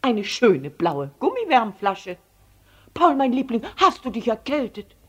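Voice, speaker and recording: Storybook mother, female reader: fond, sweet, unruffled, expressive pitch. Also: a little slow, slightly rough, lo-fi sound, heavily acted. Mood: fond, innocent. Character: fond, unruffled mother covering for her son.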